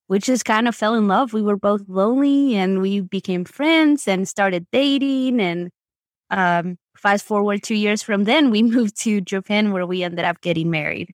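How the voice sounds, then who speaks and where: A woman's voice: medium pitch, normal speed, no room sound, clean studio sound. A woman with an Argentine Spanish accent, chatting as a podcast guest in a rambling, filler-filled way. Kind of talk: casual storytelling interview.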